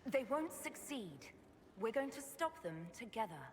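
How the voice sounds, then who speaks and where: A woman's voice: a little high, sing-song, normal speed, a little mellow, a little hiss or hum. An actress voicing a resolute female Inquisitor who speaks firmly and decisively. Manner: firm, decisive.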